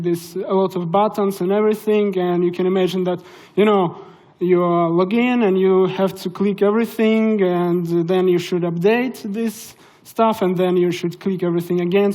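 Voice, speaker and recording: A man's voice: high-pitched, steady tone, normal speed, dark tone. A young man from Russia with a Russian accent, giving a casual, hesitant conference tech talk through a stage microphone. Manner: casual, hesitant, many fillers. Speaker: conference speaker. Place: conference hall.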